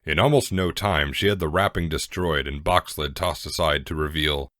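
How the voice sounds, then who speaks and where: A man's voice: extremely low pitch, moderate pace, a bit bright, no echo, studio quality. A man narrating a scripted fan fiction story as a dramatic reading.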